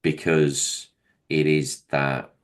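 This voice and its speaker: A man with a deep voice, a comedian guest talking candidly in an interview, hesitant with many pauses and restarts. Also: little pitch movement. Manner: self-deprecating, hesitant with many ums and restarts.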